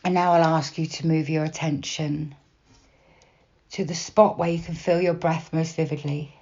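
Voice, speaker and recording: A woman guiding a meditation in a slow, soft, unhurried manner with long pauses between phrases. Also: sits low, fairly even intonation, vocal fry, muffled recording. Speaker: meditation guide. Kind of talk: guided body scan meditation, gentle instruction.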